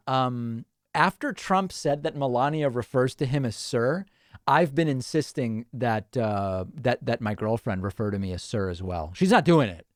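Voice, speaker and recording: A man hosts a relaxed live stream, reading out comments and musing with quick restarts and small laughs. His voice sits at an ordinary pitch, recorded close and dry with no room sound. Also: no echo. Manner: chatty, thinking aloud with restarts and small laughs. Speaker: host. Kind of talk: casual livestream chat reading viewer comments.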